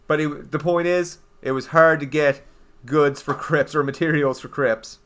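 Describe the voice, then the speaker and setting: A man's voice: on the high side, fairly even intonation, unhurried, a bit bright. A young man from eastern Canada with a Canadian accent, chatting casually and rambling on his game livestream as he answers his viewers. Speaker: game streamer.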